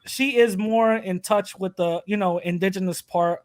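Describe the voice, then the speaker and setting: A young man talks in a loose, joking ramble full of fillers. His voice sits a little high. The sound is dry and close to the mic. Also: normal speed, no room sound. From New York City, USA. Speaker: podcast host, interviewee. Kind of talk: casual interview chat.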